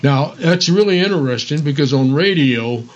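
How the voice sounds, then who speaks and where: A man's voice: on the high side, lively intonation, normal speed, lo-fi sound. A man co-hosting a radio show in casual conversation.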